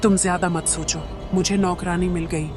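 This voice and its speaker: A woman's voice: on the low side, steady tone, moderate pace, music in the background, natural voice. A woman voicing a worried young wife in a cartoon, insistent and frightened about her new maid. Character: anxious young wife Shanti. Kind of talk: cartoon voice acting.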